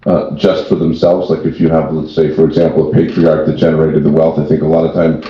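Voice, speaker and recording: Man, deep-pitched, explanatory panel talk, restarted phrases, muffled echoey recording. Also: flat delivery, fast talker, background noise. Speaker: tax and wealth planning founder, panelist. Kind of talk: extemporaneous panel discussion. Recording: very echoey room, dull sound.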